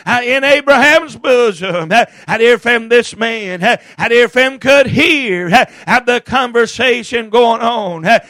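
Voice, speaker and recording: A man preaching a hellfire sermon, chanting in short bursts with a gasped "uh, uh" between every phrase and often shouting. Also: very high voice, unhurried, hardly any pauses, no echo, studio quality.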